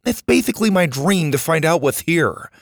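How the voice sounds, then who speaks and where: A man voicing an excitable, stubborn boy in a children's story, eager and argumentative. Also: fairly high pitch, normal speed, creaky, no room sound, clean studio sound, pitched above the performer's own voice, full character voice. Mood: eager, insistent. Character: excitable boy obsessed with aliens, scoffing and stubborn.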